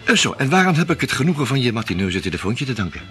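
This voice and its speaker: A charming, evasive art dealer. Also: male voice, medium pitch, sing-song, very fast, a bit bright. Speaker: radio drama actor. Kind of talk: radio play acting.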